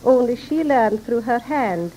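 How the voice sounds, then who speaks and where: A woman with a high voice speaks as a guest on a 1930s radio interview. Her delivery is formal, articulate and explanatory. Faint noise sits over the old broadcast recording. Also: animated, unhurried, mellow, poor audio.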